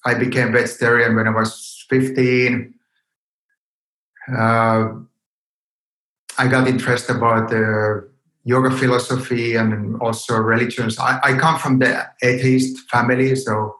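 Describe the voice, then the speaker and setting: Slow-talking Finnish man in a roomy space. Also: mid-range voice, fairly bright tone. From Finland. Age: 50s. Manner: hesitant, searching for words. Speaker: podcast guest, yoga teacher. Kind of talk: unscripted interview conversation. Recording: roomy echo on the voice.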